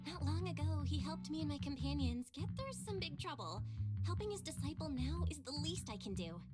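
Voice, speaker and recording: A woman playing a kind, composed young mystic disciple in a video game, speaking politely and gently. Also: sits high, lively intonation, a little fast, over loud music. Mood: polite, reassuring.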